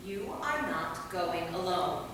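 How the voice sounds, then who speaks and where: Firm, lively-pitched vampire woman played by an actress. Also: slow pace. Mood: worried, determined. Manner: firm, earnest. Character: worried, loyal, sensible female vampire, long-lived. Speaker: stage actor in a staged reading. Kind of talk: live staged play reading. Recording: echoey hall from far off, faint noise.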